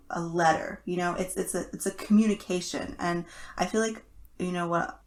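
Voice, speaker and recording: A woman co-hosting a podcast in casual analytical chat, emphatic and repeating words to stress a point. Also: medium pitch. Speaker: podcast co-host.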